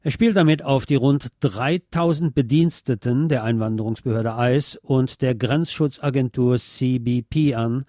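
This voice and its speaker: A man's voice: medium pitch, warm tone, no echo, clean studio sound. A man reading a scripted political and music commentary as a presenter.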